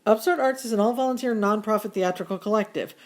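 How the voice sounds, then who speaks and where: A woman announcer reading scripted radio show announcements and credits in a deliberate, presentational way. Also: mid-range voice, background noise.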